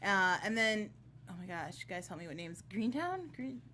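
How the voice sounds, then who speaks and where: A woman's voice: mid-range voice, sing-song, moderate pace, pushed and bright. A woman co-hosting a casual panel chat in a playful, emphatic, chatty way, peppered with "like". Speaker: talk show co-host.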